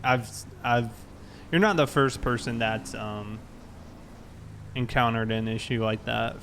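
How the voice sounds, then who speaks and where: A man's voice: medium pitch, slow pace, fairly bright tone. Casual American man streaming, faint noise behind. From South Carolina, United States. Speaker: live streamer roleplaying a police officer. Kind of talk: casual livestream chat with police radio roleplay. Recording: clear background noise, vehicle sounds.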